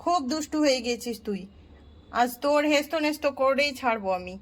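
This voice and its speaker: A woman's voice: high voice, animated, a little fast. A woman voicing an angry mother who scolds and threatens her naughty daughter. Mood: scolding.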